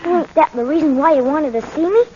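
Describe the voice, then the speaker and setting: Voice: high voice, fast, dark tone, close to an everyday voice. A performer playing a streetwise, plain-spoken young boy with slangy speech in a 1940s radio drama. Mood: casual. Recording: muffled, noisy old 1940s radio recording.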